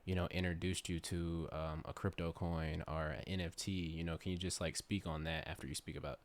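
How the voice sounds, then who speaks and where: A man with a very deep voice hosts a podcast interview. His talk is loose and easygoing, full of "um", "like" and "right?". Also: a little fast. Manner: relaxed, filler-heavy, lots of "right?" and "um". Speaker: podcast host. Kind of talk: casual interview hosting.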